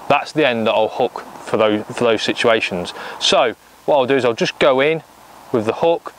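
A man's voice: medium pitch, fairly bright tone, poor audio. A man in his thirties with an English accent, giving a practical step-by-step fishing tutorial from a lakeside bank on a lapel mic. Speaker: angling coach.

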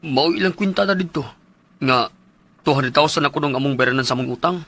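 A debt-burdened man with a Cebuano Filipino accent, weary and irritable. His voice is husky and gravelly, slightly high for a man. He grumbles in radio-drama style. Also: quick pace, a bit bright. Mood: dejected.

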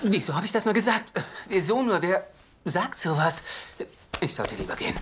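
A man's voice: high-pitched, expressive, moderate pace, fairly bright tone, a little hiss or hum. An actor dubbing a nervous, lovestruck young single man who rambles awkwardly in a German sitcom dub. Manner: awkward, rambling, self-mocking.

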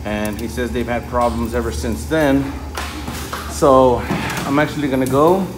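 A man's voice: medium pitch, fairly even intonation, measured pace, music in the background. A man giving a casual, informal hands-on work commentary as a technician, speaking to his viewers while he works. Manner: informal, addresses viewers as guys. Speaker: refrigeration technician, vlog host. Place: on site at work, inside walk-in freezer and outdoors at condensing unit. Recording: loud machinery and ambient noise, handheld camera.

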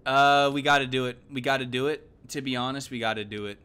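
A man, giving casual, slangy, animated live game commentary. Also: mid-range voice, fast, clear, resonant tone. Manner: animated, exclaiming, thinking aloud. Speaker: game streamer. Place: indoor room at home.

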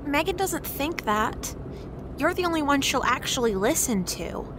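A worried, blunt schoolgirl in an audio drama. Her voice is high and very animated, tripping awkwardly over words. Distinct vehicle noise sits in the background. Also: a little slow. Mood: earnest. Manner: stammering, awkward. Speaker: actor in a scripted audio drama. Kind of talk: naturalistic audio drama acting.

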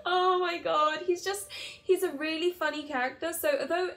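A woman in her twenties giving a casual, chatty game review to camera in an expressive way. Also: very high-pitched, normal speed. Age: 20s. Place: small bedroom, indoors. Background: background music.

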